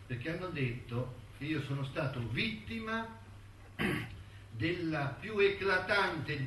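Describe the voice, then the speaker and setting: Anziano intellettuale malinconico, lento. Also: male voice, on the high side, sharp tone, a little hiss or hum, exaggerated voice. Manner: halting, musing, with rhetorical tags and repetitions. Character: elderly male intellectual and ant lover, wistful, bitter, reminiscing. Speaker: stage actor in a monologue. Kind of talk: theatrical monologue in a radio-broadcast play. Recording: echoey stage recording, distant mic, music.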